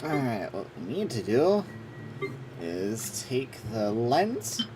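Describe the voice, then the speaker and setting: A woman's voice: rumbling low, very animated, takes it slowly, noisy background. A woman giving casual live commentary as she plays a game, informal and half talking to herself. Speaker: game streamer. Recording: loud game music behind.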